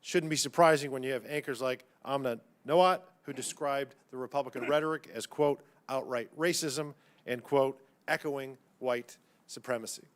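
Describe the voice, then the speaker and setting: A man's voice: medium pitch, normal speed. A man speaking as a congressman at a hearing, questioning witnesses in a pointed, combative and folksy way. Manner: folksy, combative, uses y'all.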